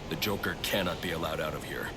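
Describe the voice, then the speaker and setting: An actor playing a grim, driven young masked vigilante in a video game, terse, intense and commanding. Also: male voice, fast talker. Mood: resolute. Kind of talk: dramatic video game acting. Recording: loud music and rumbling effects behind.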